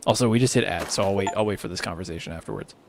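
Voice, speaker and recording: A tired man whose voice is starting to go hoarse, rambling casually and thinking aloud as he hosts a gaming livestream. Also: low-pitched, rapid-fire, noisy background. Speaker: livestreamer hosting a charity gaming stream. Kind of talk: casual unscripted game-stream chat.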